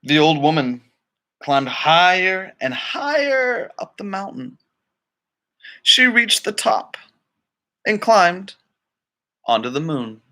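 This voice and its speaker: Man, children's read-aloud narrator, slow delivery, lively swooping intonation, dramatic phrasing, casual asides. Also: high-pitched. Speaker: storybook reader.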